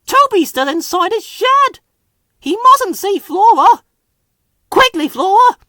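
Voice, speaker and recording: A man's voice: extremely high pitch, sing-song, slow, bright, forceful tone, no room sound, studio quality, voice pushed up, full character voice. A man voicing an eager, anxious young male steam engine in a children's storybook, with urgent exclamations. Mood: panicked.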